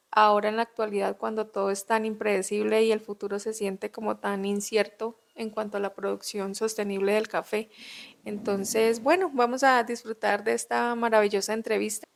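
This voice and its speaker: A woman co-hosting a podcast, speaking in a measured, gently encouraging way. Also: mid-range voice, creaky. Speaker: podcast co-host. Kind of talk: casual podcast conversation.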